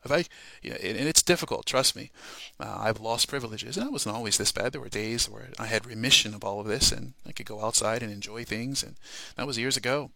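A man speaks with a gravelly fry and a sharp, bright edge, recorded close and dry. He gives an informal devotional talk as a ministry podcast host. His delivery is earnest and emphatic, punctuated by short checks with the listener. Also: mid-range voice, fast, no room sound, low-quality recording. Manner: earnest, emphatic, often checks with "okay?" and "all right?".

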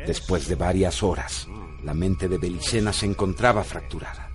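A man's voice: low voice, normal speed. A man narrating a radio drama in a solemn, theatrical way. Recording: music bed behind voice.

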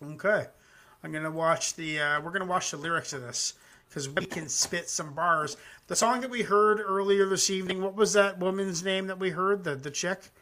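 A man with a high, bright voice hosts a live music reaction stream. He talks loosely and profanely, packed with fillers, and now and then reads out his own joking poems. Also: moderate pace. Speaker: host of a music reaction show. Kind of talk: casual live-stream chat.

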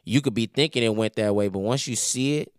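A man in his twenties speaking as a podcast guest in relaxed, slangy conversation. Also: lowish voice, fairly quick, clean tone, dry recording, clean studio sound. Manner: laid-back slang, frequent "you know what I'm saying". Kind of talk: casual interview talk.

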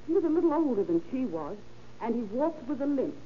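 A woman's voice: high-pitched, sing-song, brisk. An actress playing a chatty, helpful young landlady in a 1930s-style radio crime drama. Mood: recalling. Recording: muffled, noisy old radio recording.

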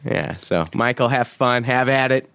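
A man's voice: medium pitch, a little slow, vocal fry, a bit bright, smooth, no room sound. A man hosting a podcast, casually reading out listener reviews, laughing often and swearing offhandedly.

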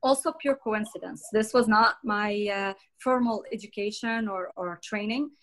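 A woman's voice: mid-range voice, moderate pace, fairly bright tone. Hesitant middle-aged woman from Jordan. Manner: hesitant, many fillers and restarts. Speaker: podcast guest. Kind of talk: conversational interview.

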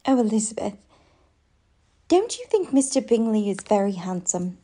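Woman as a dreamy, soft-toned young lady. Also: medium pitch, big pitch swings, moderate pace. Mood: dreamy, gushing. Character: sweet, dreamy young gentlewoman in love. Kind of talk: old-fashioned radio drama acting.

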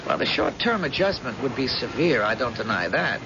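An actor playing an intense, self-assured inventor, declamatory and gravelly, in an old-time radio drama. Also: male voice, medium pitch, sing-song, moderate pace, lightly acted. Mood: fervent, assured. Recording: dull, noisy old radio recording.

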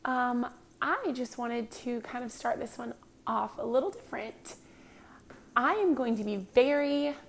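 Thirties woman podcaster, slow earnest talk full of ums, kinda husky fry in the voice. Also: fairly high pitch, a little hiss or hum. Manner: earnest and confessional, many ums. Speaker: podcast host. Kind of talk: casual personal talk.

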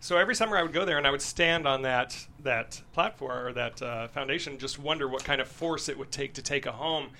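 Bright-voiced man, faint noise behind. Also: medium pitch, brisk, hardly any pauses. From Albuquerque, New Mexico, United States. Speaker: guest screenwriter. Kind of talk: reflective personal storytelling in an interview. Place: studio.

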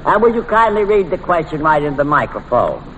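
An actor playing an exasperated, mock-formal quizmaster on an old radio comedy show. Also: male voice, fairly high pitch, sing-song, fairly quick, a bit bright, dull audio. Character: exasperated male quizmaster, sparring with dim panelists. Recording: noisy old radio broadcast recording.

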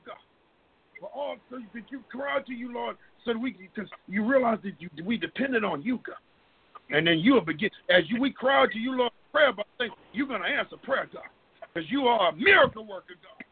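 A man's voice: very high voice, creaky, slightly rough. A middle-aged man prays aloud fervently and off the cuff, pleading and repeatedly calling on God. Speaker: person leading group prayer. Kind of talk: fervent extemporaneous prayer. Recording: tinny, phone-line-like audio.

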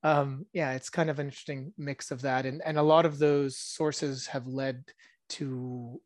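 A man in his thirties, born in Israel and a native Hebrew speaker, talking as a podcast guest in a musing, soft-spoken way that often trails off into quiet asides. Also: medium pitch, level tone, measured pace, dry recording, studio quality. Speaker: podcast guest, tabletop game designer. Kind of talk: casual interview chat.

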